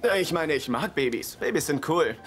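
A man's voice: on the high side, expressive, normal speed, a bit bright. An actor dubbing a joking, sarcastic young man in a German TV dub, talking in a wry way. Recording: music behind the dialogue.

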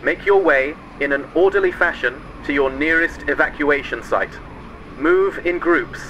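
A man acts out an evacuation announcer in an audio drama, amid clear background noise. His fairly high voice is calm and orderly as he gives instructions. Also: a little slow, lo-fi sound. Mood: calm, firm.

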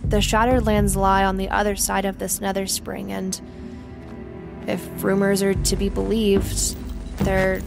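Actress as composed female adventurer in fantasy audio drama. Unhurried, measured delivery, music behind. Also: medium pitch, completely flat delivery, vocal fry. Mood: grave. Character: composed, knowing woman adventurer fighting with a whip.

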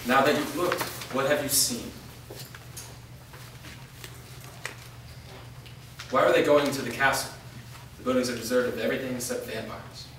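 A man's voice: mid-range voice, steady tone, a little fast, natural voice. Grim, defiant wandering warrior played by a man for a stage drama, distant, heavily echoing room. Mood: probing. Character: world-weary, defiant male wandering vampire fighter. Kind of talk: staged play reading. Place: large bare indoor room, staged reading. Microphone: distant camera. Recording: echoey room from far off, faint noise.

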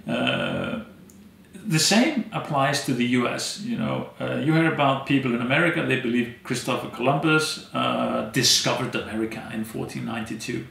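A man in his thirties from Iceland, a native Icelandic speaker, telling stories as an interview guest with many pauses and fillers. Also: mid-range voice, sing-song, creaky, fairly bright tone, echoey room. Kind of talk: conversational storytelling. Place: dim indoor room, seated in armchair.

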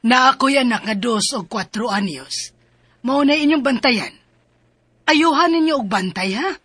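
A harsh lady of the house , played by a woman, barks insults at her helpers in a high, piercing voice. Her tone is sharp and berating, pushed with a tense brightness. It is a dry, close-up radio drama reading. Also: lively intonation, normal speed, husky, no room sound. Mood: stern. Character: harsh scolding female employer, middle-aged. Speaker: employer of helpers.

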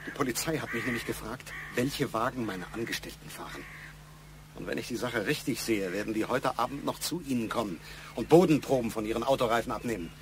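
A man's voice: medium pitch, a little fast, natural voice. Insistent middle-aged male boss, played by an actor, pointed and probing, radio crime drama, noisy background. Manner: pointed, probing, matter-of-fact.

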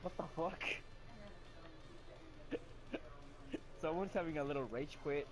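A man's voice: on the high side, slow pace. A man chatting casually in a game party, rambling in an easygoing way.

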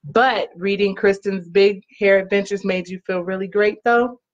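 A woman in her thirties, a mother reading and chatting for a children's story time in an animated, encouraging way, recorded on a webcam in a living room. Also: mid-range voice. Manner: animated, encouraging call-and-repeat delivery with drawn-out phrases. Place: small living room, on a couch. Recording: slightly dull home webcam audio.

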